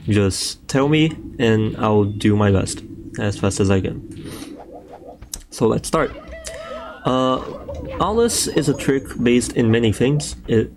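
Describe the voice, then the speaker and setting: Brazilian guy doing a chill speedrun tutorial, lowish voice, bit of faint hiss behind. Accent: Brazilian Portuguese. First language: Portuguese. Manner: relaxed, loose, swears casually, frequent fillers. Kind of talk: casual gameplay tutorial voice-over.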